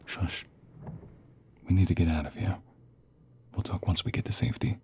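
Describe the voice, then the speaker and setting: A man voicing a desperate, protective young mobster in an ASMR romance roleplay, intense and emotional, with a husky, breathy, gravelly low voice that often drops to whispers. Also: moderate pace, darkish tone, slight background noise. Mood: hushed, urgent.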